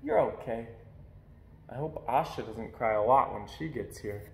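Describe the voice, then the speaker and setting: A man reading a children's picture book aloud in an expressive storytelling style. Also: lowish voice, moderate pace, reverberant.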